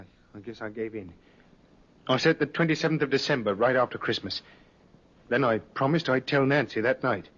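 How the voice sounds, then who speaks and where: A man plays an anxious, guilty-sounding male suspect in a vintage radio play. His medium-pitched voice stammers and halts defensively, on a dull old recording. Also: a bit bright, lightly acted. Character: nervous, guilty male murder suspect pleading innocence. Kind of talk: 1950s radio drama acting.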